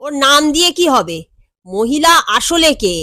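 A woman voicing a timid, nosy, excitable male errand runner who exclaims and asks questions deferentially in an audio story. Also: sits high, a little slow, dry recording, studio quality, voice pushed up, heavily acted. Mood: defensive.

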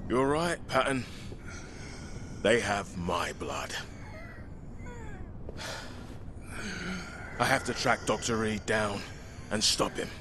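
Cocky, swooping survivor hero. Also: male voice, lowish voice, animated, unhurried, background noise. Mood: sober resolve. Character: cocky, theatrical male zombie-apocalypse survivor turned hero. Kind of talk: video game voice acting.

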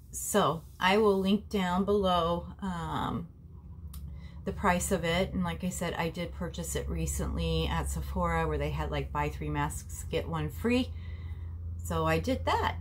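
A woman's voice: on the low side, slight background noise. Woman with a stuffy cold voice. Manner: chatty, talks to viewers as family, frequent ums. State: nasal from a cold. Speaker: beauty vlogger. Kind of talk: casual skincare review vlog. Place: small bedroom at home, indoors. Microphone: camera in front at desk distance.

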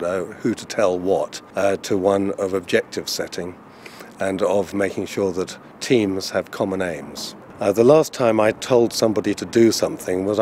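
Deep-voiced middle-aged business chief. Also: male voice, darkish tone. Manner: measured, reflective. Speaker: company chairman. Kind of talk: interview answer.

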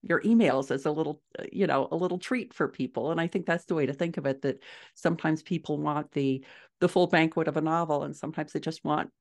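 A middle-aged woman giving measured, explanatory answers as a guest author in a conversational interview over a video call. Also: sits low. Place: small furnished room at home, indoors.

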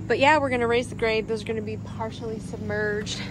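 A middle-aged woman chatting casually and excitedly as she films herself outdoors at a house site with a handheld phone. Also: a little high, brisk, noisy background. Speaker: vlogger renovating a farmhouse. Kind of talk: casual walkthrough chat. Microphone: phone held at arm's length.

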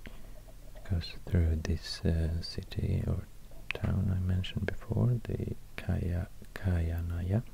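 Man, Swedish accent, whispered soft ASMR, very slow and halting, gravelly creak, close mic. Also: deep voice, steady tone, a little hiss or hum. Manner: hushed, unhurried, halting. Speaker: ASMR host. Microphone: close binaural microphone.

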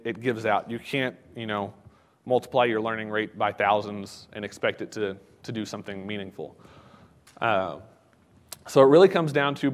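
Man lecturing in a reverberant hall. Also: medium pitch. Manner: conversational, many "uh" fillers and restarts. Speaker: conference speaker. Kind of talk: technical conference talk. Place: conference hall.

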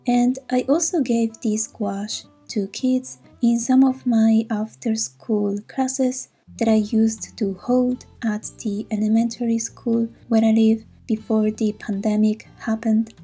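A Swiss woman with an Italian accent, a native Italian speaker, calmly explaining an art supply review in a voice-over. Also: a little high, slow pace, hardly any pauses, slightly rough, soft background music. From Switzerland. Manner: calm, explanatory, a little hesitant. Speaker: art channel host.

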